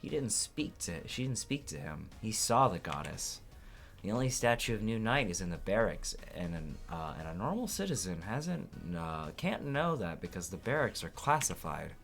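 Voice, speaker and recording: Low-voiced man playing through a game. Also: very animated, moderate pace, gravelly, a bit bright, soft background music. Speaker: let's player reading game dialogue aloud. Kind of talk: dramatic read-aloud of a courtroom game with casual asides.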